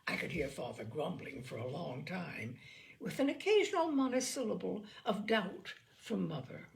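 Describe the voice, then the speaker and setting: Animated woman storyteller with a creaky edge. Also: on the low side, normal speed. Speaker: storyteller, narrator. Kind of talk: humorous short story reading.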